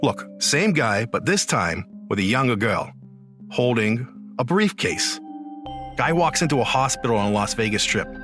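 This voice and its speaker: An actor playing an excitable online sleuth in an audio drama, speaking in an emphatic, slangy, urgent American voice. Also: male voice, medium pitch, moderate pace, over music, close to an everyday voice. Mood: urgent, amazed. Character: excitable young male online sleuth recruiting a reluctant witness.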